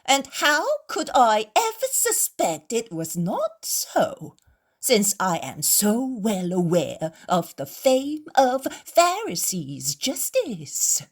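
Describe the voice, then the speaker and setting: A woman voicing a robust male innkeeper who loudly and sarcastically taunts his visitors. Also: on the high side, huge pitch swings, takes it slowly, voice pushed up, heavily acted. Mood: mocking. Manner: loud, defiant, sarcastic taunting. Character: robust, brash male innkeeper, fearless and mocking.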